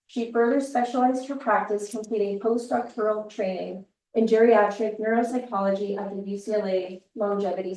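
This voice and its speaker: A woman's voice: medium pitch, darkish tone. A woman social worker hosting an event, upbeat and then reading a formal introduction of the speaker. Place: seminar room. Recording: roomy, from far off the mic.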